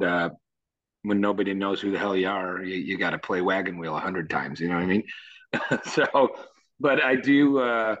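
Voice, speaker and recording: A low, somewhat deep male voice talks in a relaxed, meandering way, now and then chuckling. He is a musician guest in a casual interview. The sound is dull and lo-fi, like a remote recording. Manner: easygoing, rambling, chuckles. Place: Nashville.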